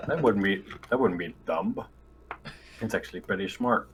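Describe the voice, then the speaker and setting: A man with a lowish voice chats as a player in a tabletop game. His talk is casual, joking and sweary. The voice-chat recording is plainly noisy, with clicks. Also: moderate pace. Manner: sweary, informal.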